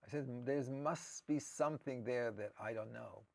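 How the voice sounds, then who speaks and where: Interview guest: Israeli-accented man, gravelly voice, mid-pitched, thoughtful and halting. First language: Hebrew. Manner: hesitant and reflective, with frequent restarts. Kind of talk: personal storytelling in an interview.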